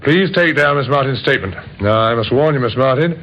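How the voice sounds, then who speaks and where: An actor playing a patient, methodical police inspector in an old radio drama, questioning politely in a measured, probing way. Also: male voice, medium pitch, expressive, a bit bright. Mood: measured, official. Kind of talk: old time radio acting. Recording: muffled, noisy vintage radio recording.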